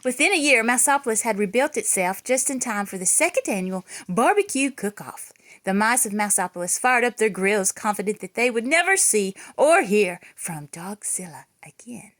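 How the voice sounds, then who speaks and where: A woman's voice: mid-range voice, animated, normal speed, a bit bright. A woman reading a children's picture book aloud in a dramatic storytelling style with theatrical emphasis. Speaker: children's read-aloud reader.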